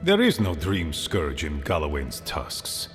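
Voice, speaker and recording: An actor playing a grave, thoughtful council elder in a fantasy game, speaking in a formal, deliberate and solemn way. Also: male voice, rumbling low, wildly swooping pitch, soft background music, heavily acted. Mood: firm. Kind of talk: dramatic fantasy game acting. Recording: long hall reverb.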